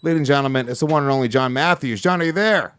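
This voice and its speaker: A man hosting a podcast and introducing a fighter, talking in a quick, questioning way. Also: medium pitch, fairly bright tone, clean tone, no echo. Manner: hype announcer, then quick questions. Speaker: podcast host. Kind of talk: casual podcast chat, hosting a fight promo.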